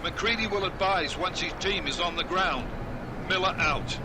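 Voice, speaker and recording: An actor playing a brisk, businesslike special forces commander in a video game, giving terse, authoritative orders. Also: male voice, high-pitched, very noisy, close to an everyday voice. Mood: urgent. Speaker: mission commander. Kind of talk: video game cutscene acting.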